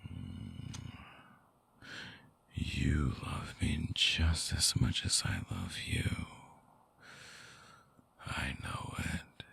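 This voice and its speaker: A brooding vampire ex-lover, acted by a man, murmurs right up close in an extremely low voice full of heavy fry. He is breathy and husky, lovesick and possessive, coaxing slowly in an intimate ASMR roleplay with a very dry, close sound. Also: very animated, full character voice. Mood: teasing, possessive. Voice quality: very deep, breathy, gravelly. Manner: slow, hushed, coaxing, with long pauses. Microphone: close microphone, dry binaural recording.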